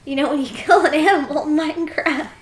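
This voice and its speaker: Higher-voiced woman in an echoey room. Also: quick pace. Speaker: podcast co-host. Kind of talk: casual chat, telling anecdotes. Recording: roomy echo with faint noise.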